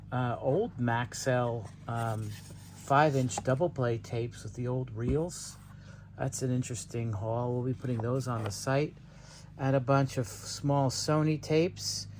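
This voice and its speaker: A man with an average-pitched voice walks through goods for sale. He speaks without a script, in the manner of an unboxing video. His delivery is halting as he itemizes each piece. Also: slight background noise. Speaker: seller presenting tape stock. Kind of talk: unscripted unboxing walkthrough.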